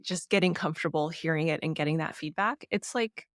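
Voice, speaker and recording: A woman with a naturally slightly low voice, co-hosting a casual book-discussion podcast and talking thoughtfully with many fillers. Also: a little fast, dry recording, studio quality. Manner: thoughtful, with frequent "you know" and "um". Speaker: podcast co-host.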